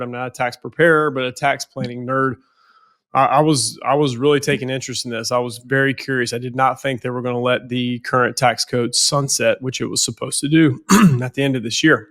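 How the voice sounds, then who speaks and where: A man's voice: medium pitch, steady tone, brisk, a bit bright. A financial planner with a head cold, sounding nasal, talking casually on a podcast and often repeating words as he goes.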